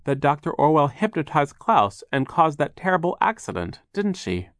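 A man's voice: a little high, big pitch swings, moderate pace, fairly bright tone, dry recording, studio quality, voice pushed up. A man voicing a curt, defiant young girl in a storybook, indignant and insistent. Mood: accusing.

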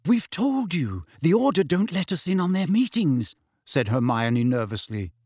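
A man's voice: fairly high pitch, very animated, darkish tone, husky, no echo, clean studio sound, voice pushed up. A man voicing an anxious, clever teenage girl who apologizes and explains in a hurry. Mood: hasty explaining. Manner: apologetic, hurried.

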